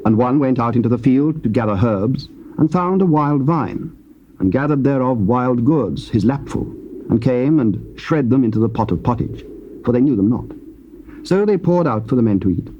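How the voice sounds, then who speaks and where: A man narrating scripture in a measured, stately way in a dull, lightly hissy old tape recording. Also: medium pitch, animated.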